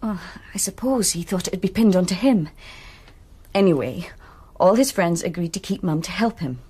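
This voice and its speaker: An actress playing a troubled young woman student in a radio drama who confides reluctantly in a hushed voice. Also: lowish voice, animated, normal speed, breathy edge. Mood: worried.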